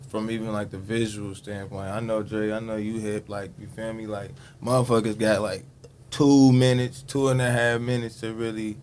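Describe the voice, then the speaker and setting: A man's voice: lowish voice, little pitch movement, moderate pace, darkish tone, slight background noise. A man in his late twenties, a rapper guest on a podcast, talking casually in slang with lots of swearing and "you know what I'm saying". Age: late 20s.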